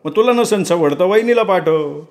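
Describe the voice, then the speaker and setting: A man voicing a chatty, boastful male farmhand who talks in loud, coaxing banter. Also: sits high, animated, moderate pace, voice pushed up. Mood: boisterous, coaxing.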